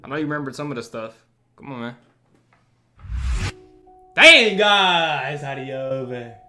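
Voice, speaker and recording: A man reacts to a video in a loose, slangy way. His mid-pitched voice is very lively and playful, with clear music behind his interjections. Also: measured pace. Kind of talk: casual reaction commentary.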